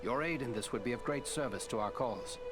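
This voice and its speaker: Actor as an earnest fantasy counsellor, medium pitch. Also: male voice, a little fast, over music, lightly acted. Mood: earnest, briefing. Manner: formal, earnest, informative. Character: serious noble male counsellor in a fantasy realm. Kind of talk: dramatic fantasy game acting.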